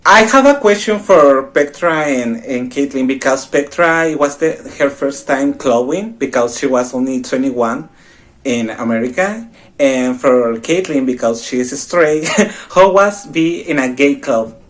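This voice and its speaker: A young man from Mexico chatting on a podcast, telling stories in an animated way and laughing often. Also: medium pitch, unhurried. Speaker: podcast co-host. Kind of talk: casual chat.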